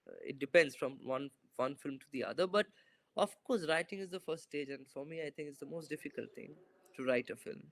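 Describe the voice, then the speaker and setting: A man's voice: on the high side, a little fast, gravelly, a bit bright. Thoughtful young man on a video call. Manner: thoughtful, hesitant, many fillers and restarts. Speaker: filmmaker guest. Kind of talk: reflective interview answers. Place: bright indoor room. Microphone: video call, laptop camera.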